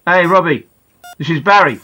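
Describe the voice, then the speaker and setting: A reader voicing Barry, a rough schoolboy trying awkwardly to be friendly, speaking haltingly. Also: medium pitch, bright, forceful tone. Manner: hesitant, halting. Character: boy, rough classmate trying to be nice.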